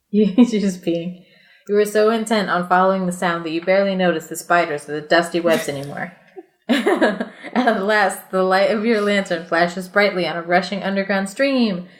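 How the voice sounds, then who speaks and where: Woman, mid-pitched voice, expressive storybook read-aloud, casual podcast host, playful asides. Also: moderate pace, roomy sound. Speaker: podcast host reading a choose-your-path story aloud.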